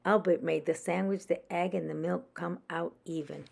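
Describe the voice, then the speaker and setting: A woman's voice: fairly low pitch, steady tone, normal speed, creaky, darkish tone. A woman reading a children's story aloud as a storyteller for young listeners.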